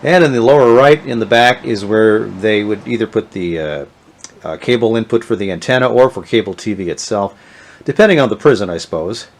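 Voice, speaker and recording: Sniffly man with a cold, halting and low. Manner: halting, many "uh" fillers, thinking aloud. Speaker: vintage electronics video host. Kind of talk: casual show-and-tell narration.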